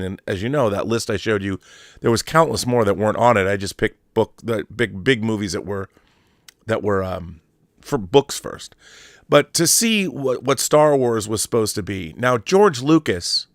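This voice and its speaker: A man's voice: mid-range voice, dry recording, clean studio sound. An American man in his fifties talking casually and with opinions as a show host, emphatic and nostalgic, repeating phrases for stress. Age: 50s. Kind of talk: opinionated casual monologue on pop culture.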